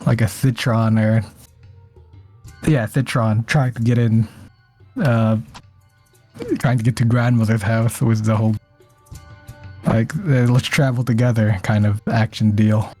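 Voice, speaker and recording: A man's voice: lowish voice, little pitch movement, unhurried, pauses often, warm tone, faint music behind. A man playing a tabletop game who thinks aloud and stumbles over his plans. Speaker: player. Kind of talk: casual tabletop roleplay chat.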